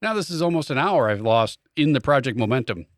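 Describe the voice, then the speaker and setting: A man's voice: medium pitch, sing-song, fairly quick, no echo, clean studio sound. A man telling a casual comic anecdote, wry and exasperated, acting out both sides of conversations. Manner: exasperated, acts out dialogue in anecdotes. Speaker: podcast co-host.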